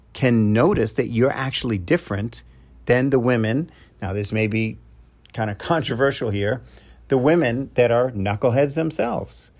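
A man's voice: medium pitch, darkish tone. A middle-aged man from New York with a New York accent, a love coach talking to his podcast listeners in a loose advice monologue, full of "uh" fillers and restarts. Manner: conversational, frequent "uh" fillers and restarts.

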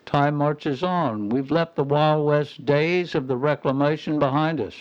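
An actor playing a smug, scheming governor in a radio play, pointed and oratorical, heard through a radio filter. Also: male voice, on the high side, measured pace. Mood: stern, warning. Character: smug, scheming territorial governor, smooth politician. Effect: radio transmission filter. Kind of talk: radio drama acting. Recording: dull, band-limited radio voice.

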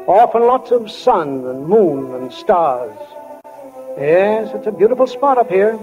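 An actor playing a folksy, reflective small-town storyteller in a 1940s radio play, musing and homespun. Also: male voice, high-pitched. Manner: homespun, musing, unhurried, addresses listeners as friends. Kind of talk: 1940s radio acting, narration. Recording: tinny old 1940 radio broadcast.

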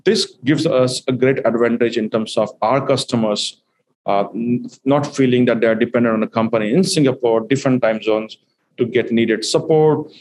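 A man's voice: mid-range voice, fairly even intonation, moderate pace, a little mellow, rough recording. Man explaining business plans, filler-heavy. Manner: fluent but filler-heavy, explains step by step. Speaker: company executive guest. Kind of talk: business interview.

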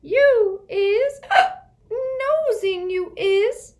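A woman voicing a drunk, tearful female house elf who squeaks and gasps between words. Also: expressive, extremely slow, darkish tone, exaggerated voice. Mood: drunk, weepy. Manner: squeaky, sobbing, slurred, gasping.

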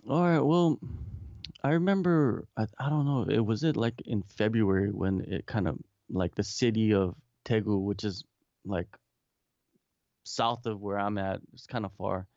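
A man's voice: on the low side, a little slow, darkish tone, dry recording, dull audio. A man talking from home in a casual remote interview, rambling with many restarts and fillers. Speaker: guest, MMA interviewer and English teacher.

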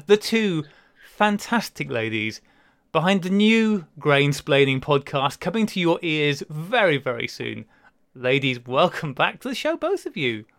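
Man, podcast co-host, jokey and teasing, higher voice, dry close mic. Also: a bit bright, no echo. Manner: jokey, teasing, laughs often. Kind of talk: casual chat.

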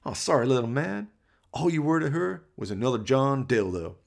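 A man's voice: medium pitch, very animated, moderate pace, gravelly, voice pushed up. A man voicing a smug, sleazy gang leader with cocky, mocking taunts in a comic radio play. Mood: smug mockery.

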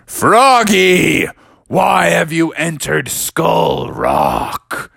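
Big scary storybook cave guardian, bossy, draws words out real slow, dry close sound. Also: male voice, a little high, huge pitch swings, vocal fry, fairly bright tone, breathy edge, no echo, voice pushed up, heavily acted. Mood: menacing. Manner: commanding, theatrical, imperious. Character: booming, menacing mystery voice guarding a skull-shaped cave's treasure.

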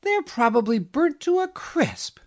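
A warm, cheerful young mother from a Christmas storybook , acted out by a man. The voice is lifted high and swings in a lively, kindly sing-song. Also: unhurried, heavily acted. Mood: startled, cheerful.

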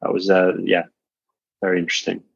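Guest, male, thirties, from Wales, low husky voice, dull sound, relaxed and modest. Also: fairly quick, dry recording. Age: 30s. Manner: easygoing, laid-back, self-deprecating. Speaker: guest, coach and entrepreneur. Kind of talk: casual interview chat.

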